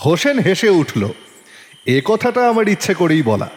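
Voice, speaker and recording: A woman's voice: lowish voice, big pitch swings, unhurried, a little mellow. A woman narrating a detective story as a dramatic scripted audio production. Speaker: narrator, also voicing the detective. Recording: long reverb with music behind. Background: music.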